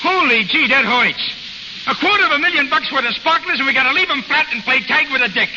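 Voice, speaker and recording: An actor playing a dim, excitable American gangster henchman in an old radio drama, plaintive and slangy. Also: very high-pitched, fast talker. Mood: aggrieved, whiny. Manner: slangy tough-guy talk, plaintive. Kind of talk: 1930s-40s radio drama acting. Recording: noisy, muffled vintage radio recording, long reverb.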